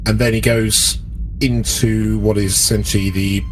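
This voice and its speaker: A man narrating his character's actions as a player in a tabletop role-playing game, unhurried. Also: fairly low pitch, little pitch movement, soft background music.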